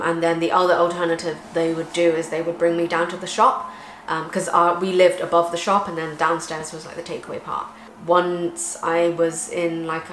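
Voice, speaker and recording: A woman talks casually to the camera, explaining at length in a lowish voice. Her voice is recorded in an echoey room at home, with faint ambience behind it. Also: a little hiss or hum. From England. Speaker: vlogger co-host. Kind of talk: casual chat.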